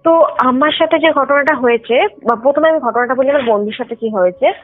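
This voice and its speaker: A woman's voice: high-pitched, brisk. A young woman tells a personal story casually over the phone, explaining details and mixing in English words. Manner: explanatory, mixes in English words, often adds check-in tags. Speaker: caller telling a personal ghost experience. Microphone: phone line. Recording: tinny phone call with music underneath. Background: background music.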